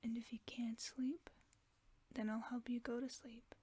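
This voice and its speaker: A woman as a whispering gentle werewolf friend. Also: a little high, flat delivery, a little slow, slightly rough. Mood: soothing. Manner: soft soothing whisper. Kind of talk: whispered sleep-aid audio roleplay.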